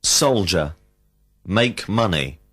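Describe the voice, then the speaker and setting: Slow, deliberate male English teacher. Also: sits low, lively intonation, fairly bright tone, husky. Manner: slow, carefully enunciated prompts. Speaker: language teacher. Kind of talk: scripted drill questions for English learners.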